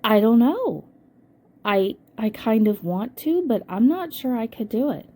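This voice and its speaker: An older woman with a hoarse voice from a cold, casually narrating a scrapbooking voice-over and thinking aloud with frequent ums. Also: on the high side, big pitch swings, a little slow, dark tone. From United States. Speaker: scrapbooking channel host.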